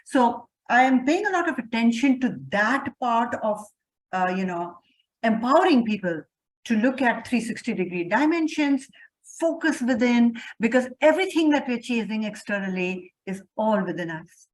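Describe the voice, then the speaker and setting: A woman's voice: a little high, lively intonation, moderate pace, low-quality recording. A woman entrepreneur with an Indian accent, answering interview questions in an earnest, motivational, reflective way.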